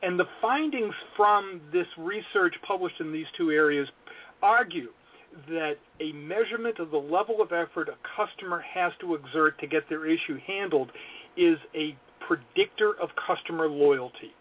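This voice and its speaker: A man's voice: on the high side, normal speed. A male consulting guest speaking earnestly and analytically in an interview, with wry self-deprecating asides. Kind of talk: podcast interview talk. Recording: tinny phone line.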